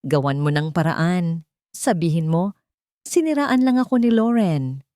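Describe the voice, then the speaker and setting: A woman's voice: lowish voice, lively intonation, a little slow, warm tone, no room sound, studio quality. Woman voicing a desperate, furious villain husband.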